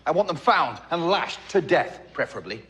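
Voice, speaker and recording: A man's voice: on the high side, big pitch swings, normal speed, fairly bright tone. A man playing an irate, ruthless Roman official in a church drama, speaking with biting sarcasm and command. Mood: angry, sarcastic. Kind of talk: theatrical church drama dialogue.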